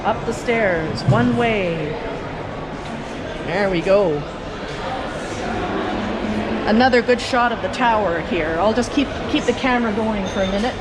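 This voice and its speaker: A woman giving casual, unhurried sightseeing commentary as a vlog host, chatting and musing aloud while she walks. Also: mid-range voice. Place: high viewing terrace and indoor rooftop garden. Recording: noisy handheld video with urban ambience.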